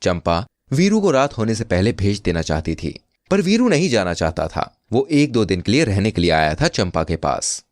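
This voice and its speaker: Deep, lively male storyteller. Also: normal speed, hardly any pauses, no room sound, studio quality. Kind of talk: scripted Hindi moral story narration.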